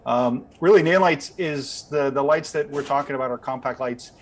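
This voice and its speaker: A man's voice: mid-range voice, normal speed. A man casually explaining equipment as a lighting company representative, speaking indoors on an online call. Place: indoors beside a window.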